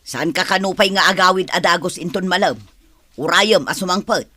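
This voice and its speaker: A woman's voice: lowish voice, a little fast, pushed and bright, no echo. A woman acting as a stern, nagging older woman in an Ilocano radio drama, with an Ilocano accent. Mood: scolding, prying.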